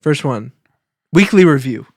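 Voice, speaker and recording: A young man co-hosting a podcast, chatting casually in an animated, joking way. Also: on the high side, a little slow, no room sound, clean studio sound. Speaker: podcast co-host. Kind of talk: casual chat.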